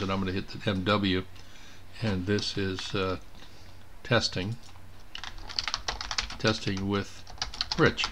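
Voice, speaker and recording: Low-voiced man giving a software walkthrough. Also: slight background noise. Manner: thinks aloud while clicking through steps. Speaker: presenter of a software tutorial. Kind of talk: informal step-by-step screen demonstration. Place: indoors at a computer.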